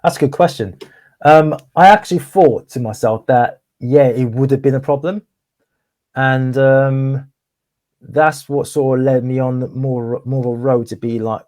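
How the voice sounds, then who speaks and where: A man's voice: mid-range voice, a little mellow, dry recording. A young man from Wales, a property investor, talking candidly and at length in an interview, often repeating words. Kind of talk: casual interview conversation.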